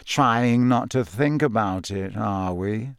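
A man voicing a smug, menacing teenage boy villain in an audiobook, speaking slowly and tauntingly. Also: mid-range voice, expressive, smooth, no room sound, studio quality. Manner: slow, soft, taunting. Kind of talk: audiobook character acting.

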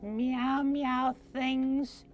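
A man voicing a small, timid, secretive female cat puppet for a children's television show, meowing between words in short, halting phrases. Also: extremely high pitch, level tone, soft background music, full character voice. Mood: anxious, secretive.

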